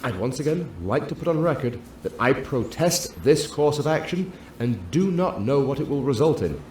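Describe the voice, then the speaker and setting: An actor playing an earnest, curious male scientist on a starship, speaking in formal, academic language with a husky voice. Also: mid-range voice, big pitch swings, a little mellow, slight background noise, close to an everyday voice. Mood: reluctant, protesting. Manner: formal, academic, measured wording. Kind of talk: sci-fi audio drama acting.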